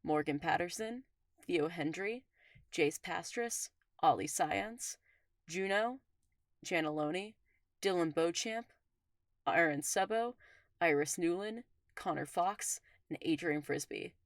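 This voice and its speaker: A woman with a low voice reads a scripted podcast introduction as host. The sound is dry, with no room around it. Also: takes it slowly, no echo. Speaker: podcast host reading supporter thanks.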